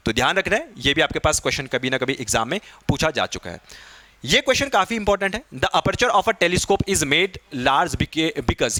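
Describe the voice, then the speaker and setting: Male physics tutor, slightly high pitch, dry close sound, explains step by step and keeps checking in. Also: a bit bright, no echo. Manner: checks in often with short tag questions; mixes Hindi with English technical terms. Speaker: physics teacher for exam preparation. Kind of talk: exam-prep lesson, explaining questions step by step.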